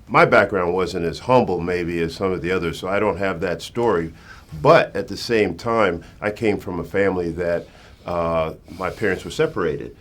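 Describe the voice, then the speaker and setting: A man on a talk show panel speaking personally and motivationally, using emphatic repetition. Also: low-pitched.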